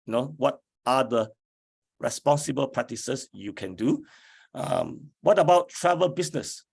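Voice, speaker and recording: Hoarse, slow-talking male lecturer. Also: medium pitch, animated, a little mellow. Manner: slow, deliberate, many fillers. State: hoarse from flu. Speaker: academic lecturer. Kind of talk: slide lecture.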